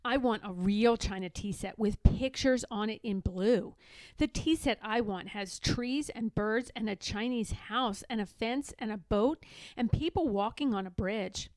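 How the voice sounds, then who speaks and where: A woman's voice: fairly high pitch, unhurried, a little mellow, dry recording, close to an everyday voice, rough recording. A woman voicing an eager, earnest young badger girl in a children's storybook, plain-spoken and insistent. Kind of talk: children's storybook read-aloud.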